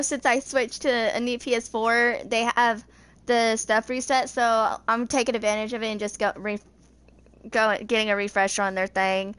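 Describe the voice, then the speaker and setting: A woman's voice: a little high, a bit bright. A woman in her twenties giving casual gameplay commentary in a rambling, hesitant, informal way. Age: 20s. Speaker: gaming video creator.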